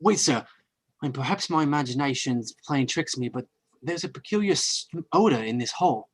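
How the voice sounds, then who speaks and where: A man's voice: mid-range voice, expressive, brisk, husky. An actor playing a polite, earnest, uneasy young man in an old-time radio horror drama, deferential in manner.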